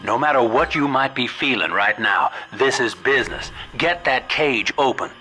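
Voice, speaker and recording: A man's voice: medium pitch, animated, moderate pace, pushed and bright, poor audio. An actor playing a slick, world-weary older businessman in a video game, with a folksy, familiar drawl. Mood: urgent, alarmed.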